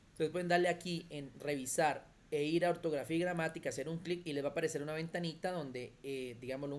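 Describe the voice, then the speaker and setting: A computer skills teacher, explaining step by step in an online class and checking in often with quick questions. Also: medium pitch. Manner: thinks aloud with restarts while demonstrating on screen.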